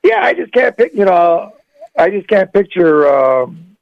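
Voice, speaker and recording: A man's voice: high-pitched, big pitch swings, unhurried, vocal fry. A man calling in to a radio show, chatting casually in a hesitant, rambling way and repeating himself. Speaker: phone-in caller. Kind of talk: casual chat. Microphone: phone call. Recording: dull lo-fi radio call.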